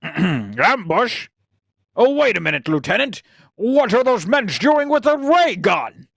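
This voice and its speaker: A man's voice: sits high, very animated, gravelly, fairly bright tone, no echo, clean studio sound, full character voice. A man playing an earnest, anguished idealist in an impassioned, theatrical radio play reading. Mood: alarmed. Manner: impassioned, dramatic, drawn-out delivery. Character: earnest, anguished male idealist pleading for peace.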